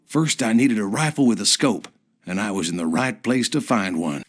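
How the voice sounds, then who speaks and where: A man's voice: lowish voice, expressive, moderate pace. A man playing a rural American farmer who survived a zombie outbreak, narrating a video game story in plain folksy words. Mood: wary, resolute. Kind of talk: first-person scripted game cutscene narration. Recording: music behind the voice.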